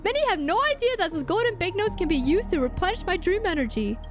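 Woman putting on a perky, very high cartoon clock mascot. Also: sing-song, fast talker, music in the background. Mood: chummy, eager. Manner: theatrical, sing-song.

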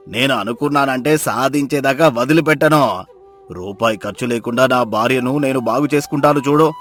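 A man's voice: medium pitch, a bit bright, natural voice. Man acting stubborn grumbling miser farmer. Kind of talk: dramatized moral story acting.

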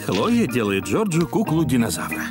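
A man narrates a children's cartoon from a script. His voice sits at a middle pitch, with music underneath. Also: wildly swooping pitch, moderate pace.

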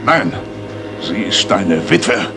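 An actor playing a desperate, hot-headed rich gentleman in a 1980s German radio drama. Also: sits low, sing-song, very slow, loud music behind the voice, heavily acted. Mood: grim, vengeful. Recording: noisy retro radio recording.

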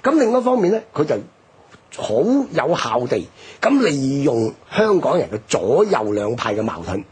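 Husky, lively Hong Kong Cantonese man. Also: mid-range voice, moderate pace. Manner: explanatory, emphatic, with rhetorical questions. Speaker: discussion guest on history radio programme. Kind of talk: animated historical discussion.

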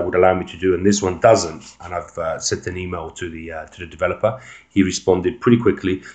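A man giving a casual, unscripted phone walkthrough as an amateur reviewer, explaining things in a halting way. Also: sits low, darkish tone.

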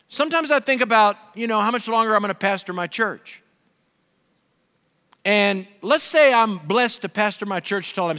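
Animated high-voiced preacher in his fifties. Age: 50s. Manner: animated, gesturing preacher with wide swings in pitch and volume. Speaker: pastor. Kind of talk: sermon. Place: large dark stage with a pulpit. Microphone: headset. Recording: long hall reverb.

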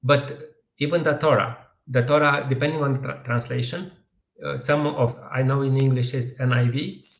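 A gravelly man with a Romanian accent, hosting an interview and feeling his way through questions in halting English. His headset audio sounds dull and roomy, as video calls do. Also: medium pitch, fairly even intonation. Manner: halting, searching for words. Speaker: podcast host. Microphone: headset on video call.

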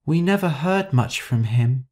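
A woman's voice: low-pitched, animated, measured pace, darkish tone. A woman voicing a timid, frightened, apologetic young woman in an audiobook story, speaking hesitantly. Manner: hesitant, faltering.

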